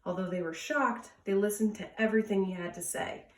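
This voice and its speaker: A woman's voice: mid-range voice, a little fast. Woman reading a children's story, roomy and distant. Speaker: storytime reader. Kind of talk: read-aloud children's storytelling. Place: indoors at home. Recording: roomy echo, distant from mic.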